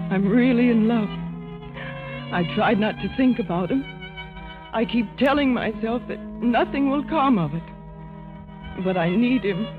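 A woman's voice: on the high side, lightly acted. A woman playing a brave, lovelorn injured patient in an earnest, emotional style of 1950s radio drama. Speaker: actress. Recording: thin, narrow-band old radio recording.

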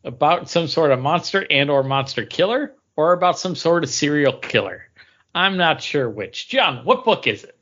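Higher-voiced man in dull-sounding casual chat. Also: lively intonation, normal speed, fairly bright tone. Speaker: podcast co-host. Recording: dull lo-fi audio.

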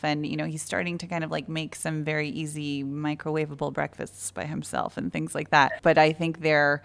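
A woman in her thirties hosting a casual podcast interview, enthusiastic and affirming toward her guest. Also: sits low. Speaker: podcast host.

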